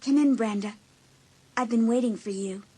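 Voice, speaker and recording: An actress playing a hurt, insecure, apologetic teenage girl in an audiobook drama, with an emotional, halting, pleading delivery. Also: fairly high pitch, dark tone, slightly rough, rough recording. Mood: cold, composed.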